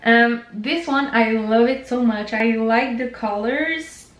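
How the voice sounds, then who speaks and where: Slow-talking French Canadian woman, echoey room. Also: on the high side, lo-fi sound. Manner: chatty, informal, lots of fillers. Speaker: knitting channel host. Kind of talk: casual chat to camera.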